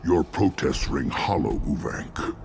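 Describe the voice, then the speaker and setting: An actor playing a stern, proud alien elder in a video game, with a gravelly voice and formal, declamatory delivery. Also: male voice, extremely low pitch, expressive, slow, a little mellow, a little hiss or hum, exaggerated voice. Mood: scornful, stern.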